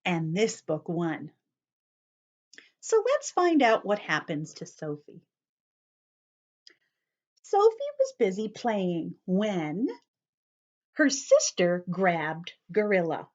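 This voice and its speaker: A woman's voice: on the low side, big pitch swings, takes it slowly, pauses often, darkish tone, muffled recording. A woman reading a picture book aloud to young children, explaining words as she goes and sometimes dropping to a whisper. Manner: drops to a whisper at times. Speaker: children's storyteller.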